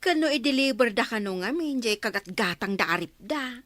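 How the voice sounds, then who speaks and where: A woman's voice: on the high side, animated, brisk, a bit bright, no echo. A woman playing a chatty, nosy village neighbour in a comedy radio drama. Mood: gossipy.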